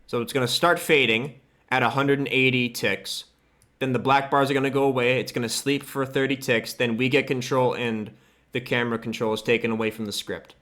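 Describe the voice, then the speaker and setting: A man giving a casual, offhand software tutorial, thinking aloud as he works. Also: mid-range voice, fairly even intonation, brisk. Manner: informal, loose and offhand, occasional swearing. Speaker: host of a game modding tutorial. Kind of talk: casual screen-capture tutorial, thinking aloud while working.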